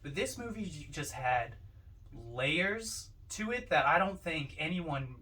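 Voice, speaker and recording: A man's voice: on the high side, lively intonation, unhurried, bright, forceful tone. A man giving his opinions as co-host of a casual film discussion, picked up from a little distance. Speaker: podcast co-host. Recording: distant mic.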